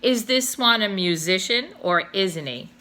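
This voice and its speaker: A woman voicing a loud, boastful boatman who insists his friend is famous and bristles at doubts. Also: mid-range voice, animated, measured pace, slight background noise.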